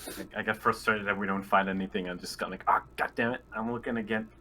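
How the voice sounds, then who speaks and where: Low-voiced man bantering over a game. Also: fairly even intonation, quick pace, pushed and bright, a little hiss or hum. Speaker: tabletop game player.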